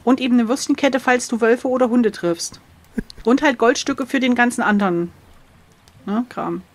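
A woman with a slightly high voice plays along in an adventure gamebook. She talks casually, with playful, jokey reactions that lean theatrical. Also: normal speed. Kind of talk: casual chat.

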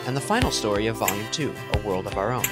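A man's voice: on the low side, animated, fast talker. A man reading a scripted podcast outro as the announcer. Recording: music playing behind the voice.

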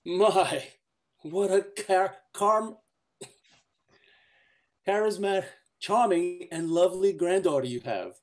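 A man's voice: sits high, slow pace, long pauses. An older man playing a leering, pompous villainous landlord in an old-time radio melodrama. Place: at home on a group video call.

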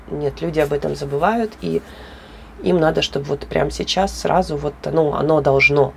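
A woman with a hoarse voice, chatting casually and animatedly in a hobby plant vlog, with laughs and fillers. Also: low voice, a little mellow, slight background noise. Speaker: orchid grower.

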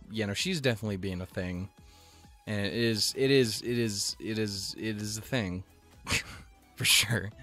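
A man from Montana giving rambling, profane, jokey reaction commentary on an anime episode, with sudden goofy pitch jumps. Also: a bit bright.

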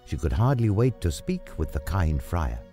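A man reading a scripted story as narrator in a measured, dramatic way. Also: deep voice, big pitch swings, dark tone. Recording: music under the voice. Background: background music.

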